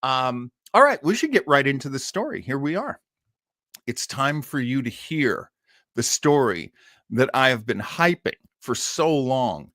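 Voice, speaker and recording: Man, show host, casual chat, enthusiastic, mid pitch, dry close recording. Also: sing-song, a little slow, pushed and bright, no echo. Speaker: host of a live horror radio show stream. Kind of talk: casual chat introducing an old radio story.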